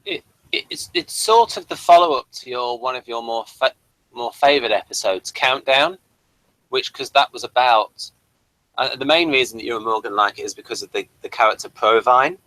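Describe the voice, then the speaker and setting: A man's voice: mid-range voice, normal speed, pushed and bright, no room sound, poor audio. A man hosting a casual review discussion, speaking in a hesitant, rambling and apologetic way. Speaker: host of a TV review show.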